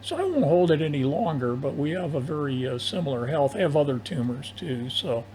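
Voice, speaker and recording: An older man chatting casually over amateur radio in long turns, with a halting, hesitant delivery. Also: on the high side, very animated, darkish tone. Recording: noisy amateur AM radio audio.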